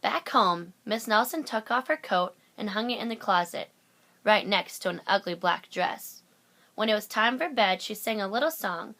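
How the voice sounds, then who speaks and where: A woman's voice: medium pitch, lively intonation, moderate pace, bright, forceful tone. A woman narrating a children's picture book aloud as a storyteller. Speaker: storybook reader.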